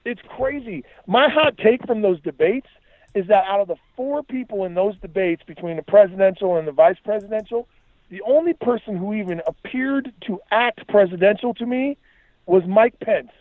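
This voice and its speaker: A man's voice: high voice, normal speed, no room sound. A man talking as a podcast guest, giving emphatic, opinionated rants in slangy conversational speech. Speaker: podcast guest, musician and writer. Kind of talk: animated ranting conversation. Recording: thin phone-like line.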